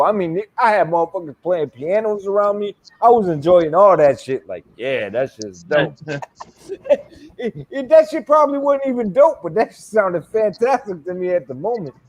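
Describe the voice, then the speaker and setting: A man's voice: high voice, very animated, moderate pace, darkish tone. Laid-back man in his forties. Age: 40s. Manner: loose, laughing storyteller, swears freely. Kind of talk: casual chat.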